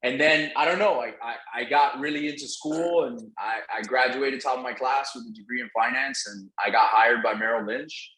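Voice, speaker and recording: A man's voice: mid-range voice, normal speed, few pauses, bright, forceful tone, a little hiss or hum. A man whose first language is Spanish, telling his story as a casual, slangy podcast guest who swears freely. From Venezuela. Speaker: podcast guest, real estate entrepreneur. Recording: roomy, echoing sound.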